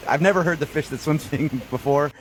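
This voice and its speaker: A man's voice: on the high side, fairly quick, noisy background. A man hosting a radio show, chatting casually and probing with questions in an amused way. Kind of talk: casual phone prank-call radio chat.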